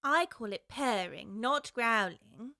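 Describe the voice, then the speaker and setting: Brief: a polite, curious little girl, high, bright tone, dry studio. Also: expressive, takes it slowly, no room sound, full character voice. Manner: well-spoken and prim, wondering aloud. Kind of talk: full-cast audiobook acting.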